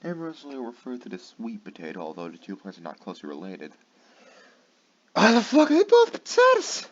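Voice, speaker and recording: A man's voice: medium pitch, wildly swooping pitch, fairly quick. A tired man reading aloud late at night, stumbling over words and breaking off for sarcastic, swearing asides. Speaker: channel host. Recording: dull lo-fi with faint noise.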